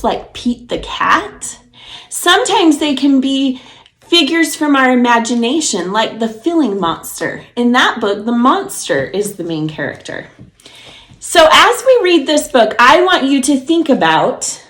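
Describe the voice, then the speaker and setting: Animated, high-voiced woman reading to preschoolers. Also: reverberant. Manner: encouraging, simple child-directed explaining, asking questions. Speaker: preschool teacher and storyteller. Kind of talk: children's storybook read-aloud.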